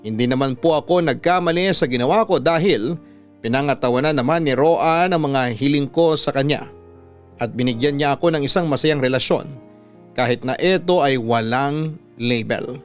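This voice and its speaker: A man with a Filipino accent narrating a sentimental first-person love story for radio in a measured, heartfelt way. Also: medium pitch, soft background music, natural voice. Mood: reflective, tender. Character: reflective narrator reading a letter writer's love story.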